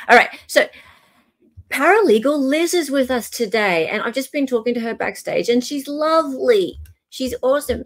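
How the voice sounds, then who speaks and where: A woman hosting a casual livestream, gushing and repeating words for emphasis. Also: a little high. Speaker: livestream host.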